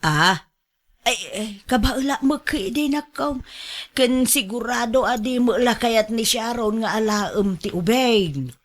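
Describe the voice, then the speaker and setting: Slow-talking, fretful grandmother, acted by a woman. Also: fairly high pitch, natural voice. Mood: welcoming, flustered. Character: older Filipino mother and grandmother, fretful, scolding, caring. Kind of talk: radio drama acting.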